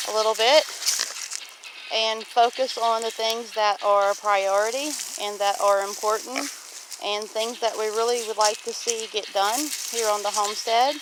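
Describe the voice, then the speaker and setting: Unhurried middle-aged woman over loud background noise. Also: mid-range voice. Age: about 50. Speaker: homestead vlog host. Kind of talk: casual walk-and-talk vlog. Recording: loud outdoor noise, clicks, honking geese.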